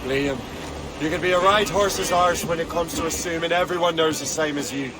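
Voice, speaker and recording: A man's voice: a little high, moderate pace, noisy background, close to an everyday voice. An actor playing a cheeky, restless young male trainee assassin with an Irish accent in a game cutscene. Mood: wry, probing.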